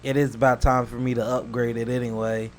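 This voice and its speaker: A man's voice: medium pitch, flat delivery, fairly quick. A man doing casual reaction commentary as a host, slangy and joking, drifting into rambling asides.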